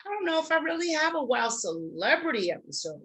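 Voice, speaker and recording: A woman's voice: high-pitched, big pitch swings, fairly quick, fairly bright tone. A middle-aged woman co-hosting a show, speaking in casual, reflective conversation. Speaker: co-host of an online talk show.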